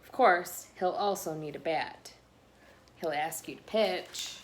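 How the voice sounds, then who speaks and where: A woman reading a children's picture book aloud expressively, pausing between phrases with dramatic emphasis. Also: fairly low pitch, slight background noise. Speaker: children's read-aloud host.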